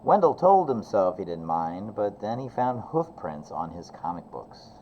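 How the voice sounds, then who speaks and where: A man with a low, soft-edged voice reads a picture book aloud as a teacher would. His delivery rises and falls a great deal. The sound is a bit dull, with faint noise behind it. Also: big pitch swings, measured pace. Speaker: elementary teacher reading aloud. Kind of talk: expressive children's storybook narration.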